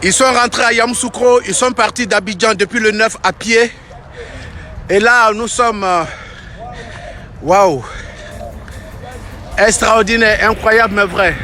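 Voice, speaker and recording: A man's voice: high-pitched, steady tone, measured pace, long pauses, faint music behind. A man reporting live and enthusiastically from a street event, repeating his exclamations. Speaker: reporter filming a march. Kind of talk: excited live street commentary. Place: outdoors on a busy street. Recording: loud crowd and street noise behind.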